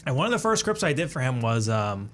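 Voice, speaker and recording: A young man in his twenties chats as a radio guest at a fast clip. His stories ramble along, packed with fillers and quick asides. His voice sits at an ordinary middle pitch. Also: big pitch swings, a bit bright, a little hiss or hum. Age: 20s. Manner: rambling, loose storytelling with fillers. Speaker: guest, alumnus. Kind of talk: casual interview chat.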